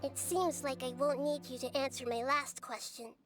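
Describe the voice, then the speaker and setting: A woman voicing a small childlike deity in a video game, composed, articulate and gently stern. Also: high-pitched, fairly even intonation, music in the background, lightly acted. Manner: measured, articulate, admonishing.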